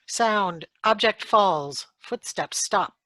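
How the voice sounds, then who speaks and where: A woman's voice: mid-range voice, no room sound. A woman reading sound effect cues aloud for a live radio drama reading. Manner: slow, flat announcing of cues. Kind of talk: reading stage directions.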